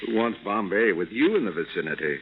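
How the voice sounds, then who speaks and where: An actor playing a confident, wisecracking adventurer, brisk and wry, in a 1940s radio serial. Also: male voice, on the low side, big pitch swings. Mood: suave, wry. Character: confident, wisecracking male adventurer, leader of the pair. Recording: noisy, tinny old-time radio recording.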